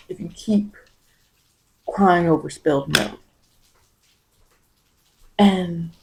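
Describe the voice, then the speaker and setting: A woman's voice: medium pitch, mellow, rough recording. A woman giving an informal ASMR tarot reading, coaching the listener with emphatic, repeated phrases. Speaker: tarot reader.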